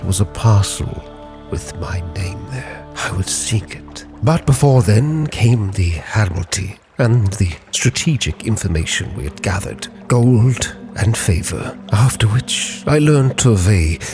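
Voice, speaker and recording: A man with a fairly low voice narrates a sea captain's log as a dramatic story. He speaks very slowly and solemnly, with every phrase measured, while music plays clearly behind him. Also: animated. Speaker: storyteller, roleplay channel host.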